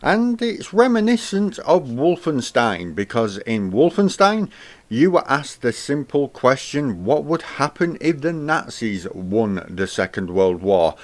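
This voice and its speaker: Man narrating a game review with rising and falling phrases. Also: a little slow. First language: English. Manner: lively delivery, pitch rising at the start of each phrase and dropping at the end.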